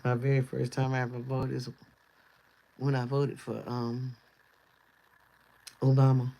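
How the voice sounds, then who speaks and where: A man talks loosely on a livestream with a lilt, rambling from one thought to the next and laughing easily. His mid-pitched voice has a gravelly grain, and it is turning hoarse as he goes on. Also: completely flat delivery, unhurried, warm tone, poor audio. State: voice going hoarse. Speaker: livestream host. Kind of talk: casual livestream chat.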